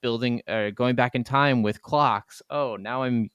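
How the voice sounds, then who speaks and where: A man's voice: medium pitch, unhurried, fairly bright tone, clear, resonant tone, dry recording, lo-fi sound. A man co-hosting a gaming podcast, talking in a casual, rambling way with plenty of filler "like". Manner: rambling, peppered with "like". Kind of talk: casual chat about video games.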